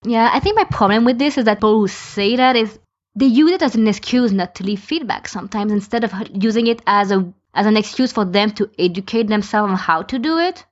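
A woman's voice: medium pitch, expressive, a little fast. Woman talking casually on a podcast, opinionated, lots of examples, kinda dull lo-fi sound. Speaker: podcast speaker, fan podficker. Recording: dull lo-fi voice recording.

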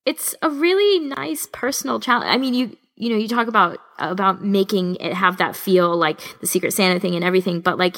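Casting: woman co-host, slightly low and gravelly, casual and encouraging with her guest, recorded with long echo. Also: a bit bright. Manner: supportive listener with frequent acknowledgements. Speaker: podcast co-host interviewing a guest. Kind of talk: casual interview chat. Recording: long echoing reverb.

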